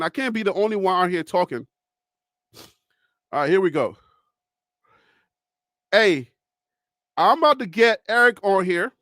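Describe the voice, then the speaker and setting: A man from Liberia, hosting a live call-in show in a preachy, animated way and reading the chat. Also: high-pitched, no room sound, clean studio sound. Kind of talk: casual livestream talk.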